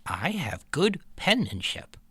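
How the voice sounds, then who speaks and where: A man voices a nerdy, polite boy in a children's story. He speaks earnestly, with pitch that moves up and down in a lively way. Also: slow pace, slightly rough, dry recording, studio quality, exaggerated voice. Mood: proud. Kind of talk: scripted children's audio story.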